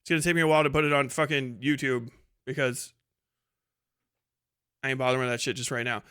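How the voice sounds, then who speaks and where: A man's voice: mid-range voice, little pitch movement, quick pace, bright, forceful tone, clean tone, no echo, clean studio sound. An American man hosting a podcast livestream in a casual, irreverent, rambling way, swearing freely as he goes. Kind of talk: casual profane livestream monologue.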